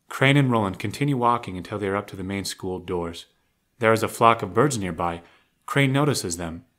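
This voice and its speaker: Male narrator, scripted audio drama scene description, slightly low register. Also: a bit bright.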